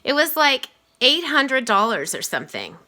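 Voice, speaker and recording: A woman's voice: high-pitched, big pitch swings, normal speed, bright, forceful tone, full character voice. A woman voicing an eager, bragging schoolboy in a storybook.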